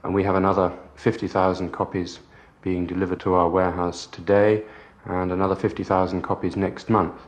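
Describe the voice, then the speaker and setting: A British man, a book publisher giving a measured, articulate and formal interview answer. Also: sits low, flat delivery.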